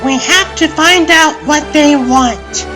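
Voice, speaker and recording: Actress as young female commander for comic dub: high voice, deliberate delivery, authoritative and dramatic. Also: over music. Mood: determined, commanding. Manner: commanding, brisk-tongued, dramatic. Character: bossy, confident young female science team leader, God's daughter. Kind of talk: fan comic dub voice acting.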